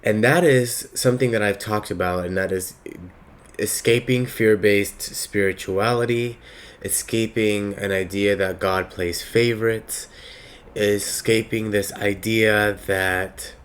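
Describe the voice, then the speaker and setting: A man with a slightly raspy voice giving a slow, reflective spiritual monologue with many pauses. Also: lowish voice, fairly even intonation. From Palestinian heritage. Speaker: spiritual reader.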